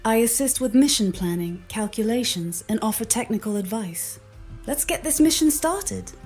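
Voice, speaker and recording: Female AI co-pilot assistant, synthetic and slightly husky, formal scripted explanations over music. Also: a little high, expressive, moderate pace, darkish tone. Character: helpful female AI spaceflight co-pilot.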